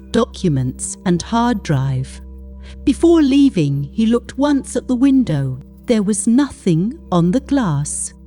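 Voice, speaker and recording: A woman reading a scripted horror story in a deliberate, suspenseful way, with short dramatic phrases. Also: mid-range voice, warm tone.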